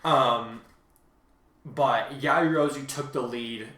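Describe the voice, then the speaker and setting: A man with a middling pitch talks casually as a host and author. He rambles and backtracks haltingly, in a noticeably echoey room. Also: pushed and bright, far from the mic. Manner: halting, rambling, repeats himself. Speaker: podcast host and fan fiction author. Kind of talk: casual chat about own writing. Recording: roomy, echoing home recording.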